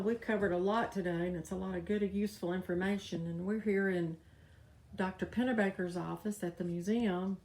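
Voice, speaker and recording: A woman's voice: lowish voice, mellow, slight background noise. A woman interviewing a guest at a museum, agreeable and encouraging, with short prompts and thanks. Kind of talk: casual interview. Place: museum's old doctor's office, indoors.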